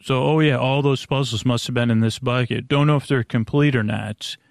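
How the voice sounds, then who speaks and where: A man hosting a sleep podcast with a rambling, improvised monologue, meandering and halting as he drifts into tangents. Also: mid-range voice, fairly even intonation, no echo, studio quality. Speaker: podcast host.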